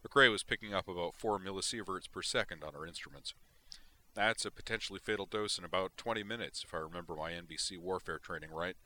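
This is a burly, grizzled veteran Marine sergeant, as a man puts him on, speaking with a wry, blunt military bluntness. The voice sits deep and carries a forceful edge. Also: fast talker.

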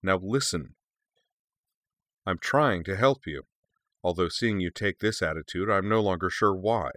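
A man's voice: sits low, measured pace, a bit bright, dry recording, clean studio sound. A man voicing a stern, commanding elder dragon in a story, grave and curt as he lectures. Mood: impatient. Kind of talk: storybook character reading.

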